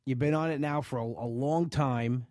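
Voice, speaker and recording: A man's voice: medium pitch, normal speed, a little mellow, no echo, studio quality. Stuffed-up man chatting casually. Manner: rambling, frequent restarts and "you know". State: stuffed up from allergies. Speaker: weight-loss vlogger. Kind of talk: casual livestream chat reading viewer comments.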